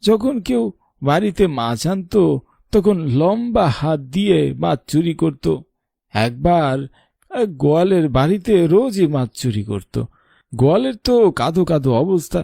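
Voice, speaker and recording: A man voicing a protective, weary old grandfather in a cartoon, sharp-tongued but affectionate, with a creaky aged voice. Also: a little high, sing-song, normal speed, dry recording, studio quality, heavily acted. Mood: storytelling. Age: older. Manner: scolding, then tender storytelling. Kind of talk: cartoon voice acting.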